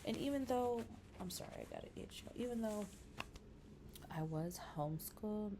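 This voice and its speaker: A woman's voice: medium pitch, expressive, moderate pace, warm tone, slight background noise. A woman in her thirties talking casually to camera in a vlog, chatty and rambling with lots of fillers, encouraging her viewers. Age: 30s. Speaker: vlogger.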